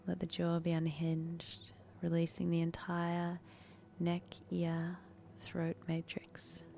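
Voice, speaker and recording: Soft, low-voiced woman guiding meditation. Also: completely flat delivery, slight background noise. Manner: soft, breathy, unhurried delivery with long pauses. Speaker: guided meditation instructor. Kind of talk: guided meditation, soothing instruction.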